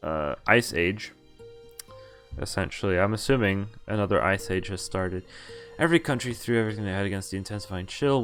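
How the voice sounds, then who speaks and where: A man streaming a visual novel, reading its text aloud and adding casual, wry asides, often stumbling over names and correcting himself. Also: on the low side.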